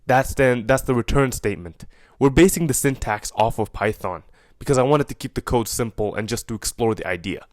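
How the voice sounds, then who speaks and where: A man's voice: medium pitch, a little fast, no room sound. Dry-sounding young man with an Egyptian accent. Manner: relaxed, with fillers and self-corrections. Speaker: programmer explaining his project. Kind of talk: casual coding walkthrough.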